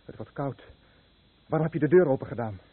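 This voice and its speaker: An actor playing an anguished, guilt-ridden fisherman scarred by fallout, in a Dutch radio play with grave, measured delivery. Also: mid-range voice, breathy edge, natural voice. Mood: alarmed, pleading. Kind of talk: Dutch radio play acting, dramatic narration. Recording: muffled, narrow-band old radio recording with noise.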